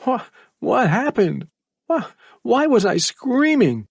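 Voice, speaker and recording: A man's voice: very high voice, big pitch swings, takes it slowly, gravelly, husky, no echo, clean studio sound, voice pushed up, full character voice. A man voicing a desperate, abused teenage boy who pleads in a trembling, breathless way. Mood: dazed.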